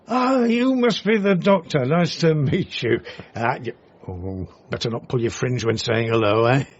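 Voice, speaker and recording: An actor playing an eccentric, affectionate older dad in a radio comedy drama, husky and halting, with a bumbling eagerness. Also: male voice, medium pitch, lively intonation, muffled recording. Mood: awkward, friendly.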